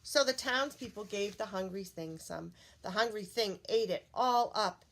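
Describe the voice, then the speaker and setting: A woman giving an expressive, animated read-aloud of a children's storybook. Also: medium pitch, normal speed. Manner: expressive, addresses children, puts on character voices. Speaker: storybook reader.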